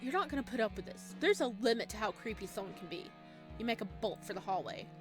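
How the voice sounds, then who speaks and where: A woman game streamer reading visual novel text aloud with casual, expressive commentary. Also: medium pitch, quick pace, over music.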